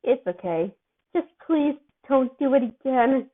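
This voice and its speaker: Woman as worried dad type guy, weary but gentle coaxing, story reading, tinny phone sound. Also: high voice, lively intonation, unhurried, pitched above the performer's own voice. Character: worried, weary, fatherly man soothing a child.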